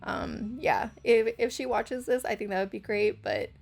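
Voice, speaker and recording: A young woman with a hoarse, scratchy voice, rambling through a casual gameplay voice-over with lots of fillers. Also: on the high side, steady tone, normal speed, a little mellow. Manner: rambling, hesitant, full of fillers. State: hoarse from karaoke. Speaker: channel host.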